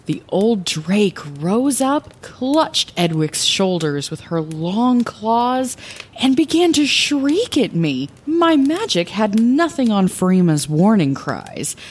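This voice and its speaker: A woman's voice: mid-range voice, a little mellow, slightly rough, heavily acted. A woman playing a wry, impulsive lighthouse keeper who narrates her adventures theatrically in a fantasy audio drama. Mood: dramatic.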